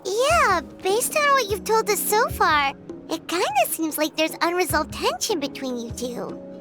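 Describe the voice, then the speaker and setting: A woman voicing a small, chirpy, frank fairy companion with a squeaky childlike voice in a video game. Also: very animated, unhurried, exaggerated voice. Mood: frank, curious. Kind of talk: English video game voice acting. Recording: background music under the voice.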